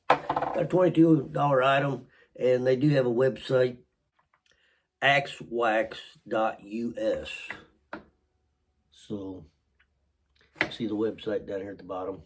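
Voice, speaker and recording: Brief: sick, hoarse man with a Southern US accent, slow unhurried unboxing chat, frequent throat clearing, faint noise in background. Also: medium pitch. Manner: halting, coughing and clearing throat often. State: sick with a cold, voice partly lost. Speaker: channel host. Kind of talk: casual unboxing vlog.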